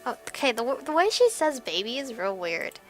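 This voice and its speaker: A woman's voice: on the high side, sing-song, fairly quick. A young woman doing casual let's play commentary in a chatty, giggly way. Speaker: gaming video host. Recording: music behind the voice. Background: game music.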